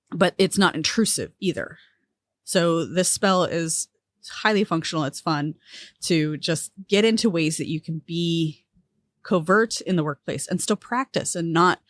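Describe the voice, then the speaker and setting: A woman's voice: on the low side, no room sound. A woman hosting a podcast on witchcraft, talking casually and with enthusiasm. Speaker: podcast host. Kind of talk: casual chat and ad read.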